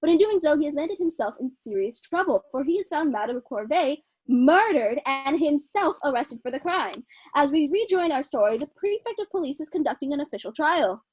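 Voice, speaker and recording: A woman's voice: high-pitched, expressive, brisk, hardly any pauses, dry recording. A woman radio announcer giving scripted narration to introduce a drama. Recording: slightly dull, lo-fi sound.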